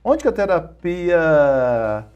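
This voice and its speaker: A man's voice: fairly high pitch, sing-song, very slow, clear, resonant tone, dull audio, faint music behind. Chatty talk-show host man. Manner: chatty, laughs easily. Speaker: interview host. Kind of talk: interview hosting.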